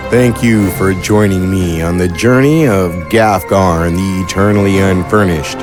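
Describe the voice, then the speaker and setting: A man reading scripted podcast credits as the announcer, in a measured, formal way. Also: deep voice. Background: music.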